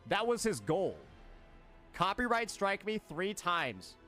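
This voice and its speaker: Indignant high-voiced man. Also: very animated, slow, sharp tone, soft background music. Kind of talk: streamer talking on a clip.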